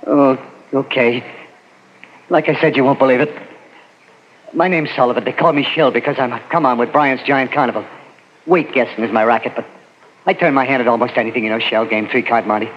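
An actor playing a small, frightened, fast-talking carnival hustler with slangy, urgent speech in a 1940s radio drama. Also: male voice, medium pitch, fairly even intonation, lightly acted. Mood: confiding. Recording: noisy, muffled 1940s radio recording.

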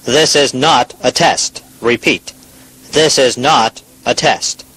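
A man's voice: mid-range voice, slow, fairly bright tone, slightly rough, low-quality recording. A man acting as a stern official announcer, reading formal, authoritative emergency attack instructions in a radio drama. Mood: stern, urgent.

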